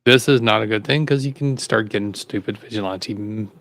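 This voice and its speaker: A man's voice: on the low side, a little fast, fairly bright tone. A man hosting a casual podcast, laid-back and rambling as he introduces stories. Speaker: host.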